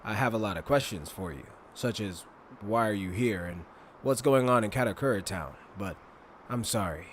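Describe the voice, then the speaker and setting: A man's voice: on the low side, animated, normal speed, a little hiss or hum, lightly acted. A man playing a cautious, apologetic anime character in a fan dub, guarded and polite.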